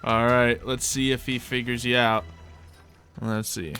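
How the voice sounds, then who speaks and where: A man runs a tabletop roleplay session as game master. His voice is middle-pitched and bright, moving between casual narration and rules talk. Faint music plays behind him. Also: flat delivery, a little slow. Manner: conversational, explains rules and narrates action.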